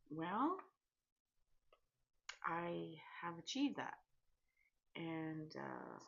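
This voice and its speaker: Husky woman in her sixties vlogging. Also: low voice, dull audio. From Canada. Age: 60s. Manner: chatty, candid, with pauses and restarts. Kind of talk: casual personal vlog.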